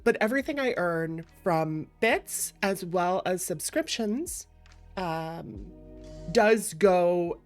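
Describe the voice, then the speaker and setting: A woman hosting a livestream, chatting casually and playfully with viewers in an expressive way over background music. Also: on the low side. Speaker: streamer, variety VTuber and host.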